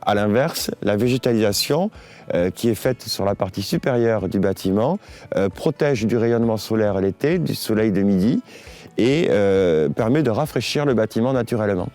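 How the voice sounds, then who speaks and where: A man presenting a project as an architect, explaining in deliberate, halting phrases. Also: fairly low pitch, animated, darkish tone.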